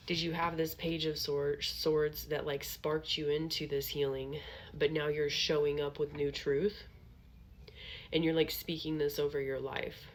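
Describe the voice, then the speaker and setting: A woman's voice: low-pitched, a little hiss or hum. A young woman with a sniffly, congested voice from allergies, giving a casual tarot reading to her viewers, chatty and reassuring, with many "like" and "okay" tags. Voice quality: nasal. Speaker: tarot reader.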